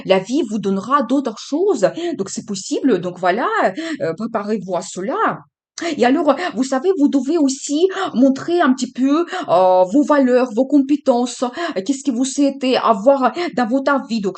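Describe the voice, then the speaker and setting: Higher-pitched woman giving a halting tarot reading. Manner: hesitant, many fillers, encouraging. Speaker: tarot reader.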